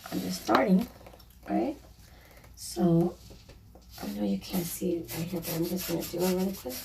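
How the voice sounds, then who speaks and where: A woman with a slightly hoarse, raspy voice, casually narrating a painting project in a chatty, apologetic way with lots of fillers. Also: lowish voice, moderate pace, distant mic, noisy background. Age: adult, a mother. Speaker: DIY vlogger.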